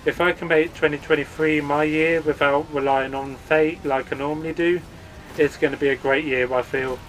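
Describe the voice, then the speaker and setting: A man's voice: a little high, fairly even intonation, normal speed, slight background noise, poor audio. A man hosting a gaming channel, giving an earnest, upbeat channel update read as a voice-over.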